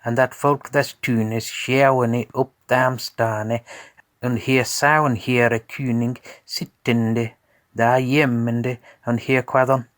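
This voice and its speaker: A man narrating a scripted tale as a storyteller in a measured, deliberate way. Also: mid-range voice, level tone, a bit bright, no room sound, clean studio sound.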